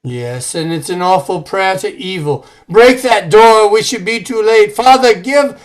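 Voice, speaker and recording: A man plays a devout old servant in a radio horror drama. His high voice moves slowly and gravely, with fearful, prayerful solemnity. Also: expressive. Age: older. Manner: solemn, prayerful, ominous, deliberate.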